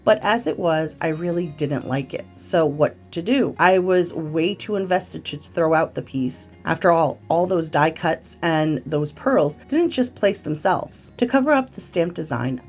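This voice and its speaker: A woman with a slightly congested voice from a cold, narrating a craft tutorial in a gentle, friendly, step-by-step way. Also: deep voice. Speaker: craft video host. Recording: faint music behind the voice.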